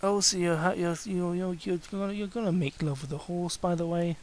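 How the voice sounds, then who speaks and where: A man's voice: high-pitched, fairly even intonation, brisk. A man giving a dramatic reading of fan fiction, breaking off for mocking, exasperated asides. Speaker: reader commenting on fan fiction.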